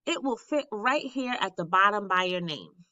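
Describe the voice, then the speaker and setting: Woman voicing an eager, bright-voiced young schoolboy. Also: mid-range voice, animated, normal speed, no room sound, muffled recording. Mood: encouraging. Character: eager, loyal third-grade boy, supportive classmate who loves art.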